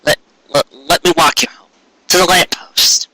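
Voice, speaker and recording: Man as a bright-voiced, bashful pony baker. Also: high-pitched, big pitch swings, moderate pace, gravelly, no room sound, voice pushed up, full character voice, poor audio. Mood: concerned. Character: kindly, bashful young male pony baker, caring.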